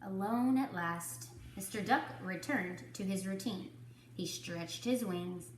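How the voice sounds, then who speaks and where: A woman reading a children's story to kindergarteners, with deliberate, dramatic phrasing. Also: medium pitch, reverberant, a little hiss or hum, poor audio. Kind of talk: expressive children's story narration.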